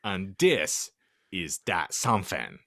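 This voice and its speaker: A man's voice: low-pitched, big pitch swings, takes it slowly, vocal fry, pushed and bright, dry recording, clean studio sound. A man hosting a podcast, reading garbled fan fiction aloud in a theatrical, mock-serious comedic style.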